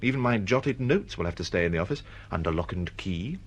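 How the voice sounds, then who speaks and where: An actor playing a mild, easily flustered novelist in a radio drama, hesitant and politely protesting. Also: male voice, deep voice, lively intonation, brisk, slightly rough. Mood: excited, evasive. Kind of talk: BBC radio drama acting.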